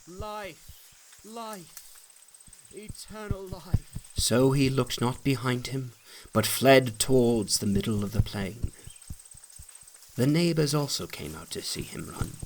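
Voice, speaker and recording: A man's voice: mid-range voice, measured pace, a little hiss or hum, rough recording. A man narrating an old allegory as a scripted dramatic reading.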